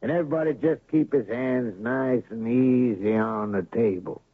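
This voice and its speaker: An actor playing a crooked, domineering town boss in an old radio drama, talking in a blustering, threatening way. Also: male voice, medium pitch, normal speed, breathy edge, no room sound, faint music behind, close to an everyday voice. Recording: tinny lo-fi old radio broadcast.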